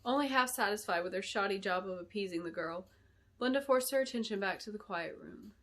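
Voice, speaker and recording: A woman's voice: medium pitch, a little fast, fairly bright tone. A woman author presenting and reading her own fantasy story in a storybook narration style. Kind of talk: storybook narration with spoken introduction.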